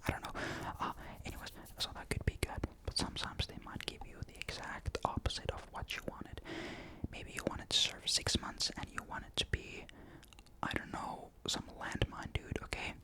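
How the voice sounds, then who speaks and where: A young man from Finland, chatting in a soft ASMR whisper into a desktop mic, rambling with lots of fillers. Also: moderate pace, low-quality recording. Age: young adult. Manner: soft unvoiced whisper throughout; rambling, many fillers and restarts. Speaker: ASMR creator. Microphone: desktop condenser mic.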